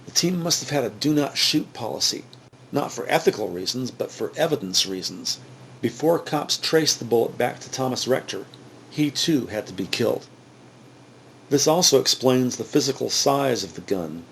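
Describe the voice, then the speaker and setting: A man narrating a scripted crime drama in a measured, matter-of-fact way. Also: medium pitch, lively intonation, creaky, slight background noise, poor audio. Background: music.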